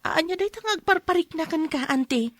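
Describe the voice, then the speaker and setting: A woman's voice: very high voice, lively intonation, a little fast, no room sound. A woman playing a helpful younger niece in a radio drama, with an Ilocano accent. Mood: concerned. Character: younger niece Jenny, helpful companion to her aunt.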